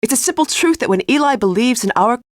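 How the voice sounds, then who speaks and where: A woman's voice: fairly high pitch, lively intonation, fast, no room sound, clean studio sound, close to an everyday voice. An actress playing an earnest, composed middle-aged woman physicist in a video game, speaking precisely and a little formally. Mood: defensive. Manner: precise, articulate, slightly formal. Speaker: scientist character in a video game. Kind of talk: scripted video game voice acting.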